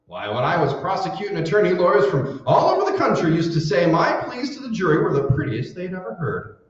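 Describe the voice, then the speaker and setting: A man's voice: sits high, huge pitch swings, fairly quick. A man playing a boastful, blustering, folksy husband in a 1940s-style radio comedy. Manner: blustery, chatty, theatrical. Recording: very echoey stage, distant dull mic.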